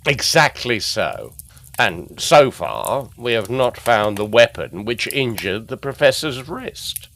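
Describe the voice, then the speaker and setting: A man playing a courteous, methodical, seasoned police detective in a gothic audio drama, deliberate and deferential. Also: mid-range voice, big pitch swings, a bit bright, a little hiss or hum, exaggerated voice. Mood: measured, curious. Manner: deliberate, deferential, slightly hesitant.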